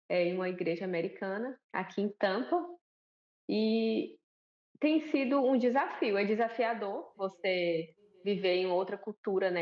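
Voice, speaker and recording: A woman with a Northeastern Brazilian accent speaks as an interview guest, relaxed and chatty, often tossing in little tag questions. Her voice sits at a middling pitch. The audio is a bit dull and lo-fi. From Northeast Brazil, Alagoas. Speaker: guest, missionary and pastor's wife. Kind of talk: conversational TV interview.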